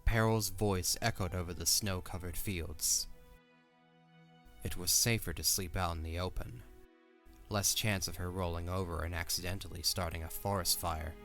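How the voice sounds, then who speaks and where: A man's voice: deep voice, steady tone, moderate pace, fairly bright tone. A man giving scripted third-person narration for a fantasy audio drama. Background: faint music.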